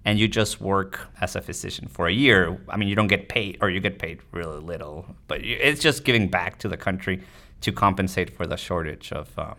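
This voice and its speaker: A man with a fairly low voice talks as a guest in an interview. He explains things conversationally, as a physician from Mexico would to a colleague, dropping in "you know" often. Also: brisk, pushed and bright. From Tijuana, Mexico.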